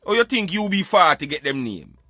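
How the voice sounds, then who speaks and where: Bright-voiced Jamaican man hosting radio. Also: high-pitched, brisk, no room sound, soft background music. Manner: animated, emphatic, repeatedly addressing listeners as brethren. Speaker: radio show host. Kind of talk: casual opinionated radio monologue with plugs.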